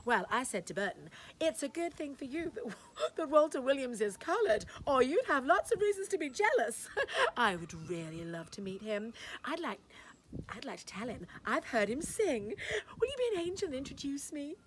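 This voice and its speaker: A woman's voice: sits high, big pitch swings, normal speed, a little hiss or hum. A woman voicing a gushing, condescending society lady at a party, breathless and fluttery, with giggles and gasps, in a dramatic short-story reading.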